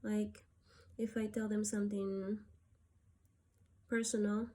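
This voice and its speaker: Woman from Peru, soft-spoken, hesitant, slow, mellow tone. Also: medium pitch, level tone. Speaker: vlogger. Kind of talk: gratitude list monologue.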